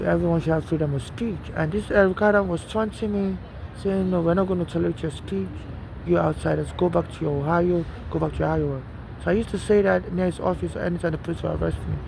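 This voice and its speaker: Nigerian guy w/ an americanized accent ranting on the street at night, repeats himself a lot, cars going by loud behind him. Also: fairly high pitch, gravelly. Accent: Nigerian mixed with American. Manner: rambling, repetitive, aggrieved, with many fillers. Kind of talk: rambling aggrieved monologue. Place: city street outdoors at night. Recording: noisy street traffic background. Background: passing traffic.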